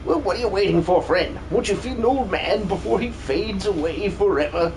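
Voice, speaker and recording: A man's voice: high-pitched, animated, moderate pace, noisy background. A man voicing a pompous, gluttonous old soldier with theatrical, old-fashioned exclamations in a video game fan dub. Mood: delighted. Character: blustery gluttonous old bearded soldier, paranoid about spies.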